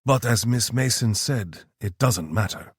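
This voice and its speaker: A man reading an audiobook voices a formal male team leader who speaks firmly and briskly. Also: medium pitch, expressive, breathy edge, no room sound, studio quality.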